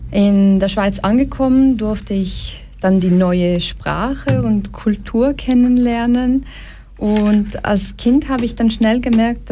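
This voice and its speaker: A young woman from Brazil talks as a radio studio guest with a mellow, soft-edged voice. She speaks slowly and tells her story calmly and with measure. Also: mid-range voice, slight background noise. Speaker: guest, association president. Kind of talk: radio interview.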